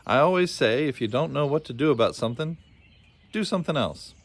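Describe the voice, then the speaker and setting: Folksy old codger for a storybook, played by a male reader: cheerful, absent-minded, lifted in pitch. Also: animated, brisk, no echo. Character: cheerful, forgetful old man running a lost-things land. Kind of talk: storybook character voice.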